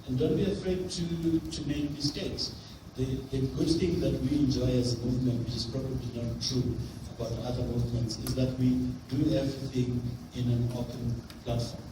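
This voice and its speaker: A man on a grant advisory committee giving an earnest, halting conference talk in a hotel conference room. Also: medium pitch, little pitch movement, noisy background. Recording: very echoey room from far off.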